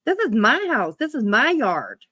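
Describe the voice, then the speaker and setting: A woman's voice: fairly high pitch, big pitch swings, normal speed, sharp tone, slightly rough. A woman giving casual reaction commentary as a livestream host, talking in a blunt, sweary way.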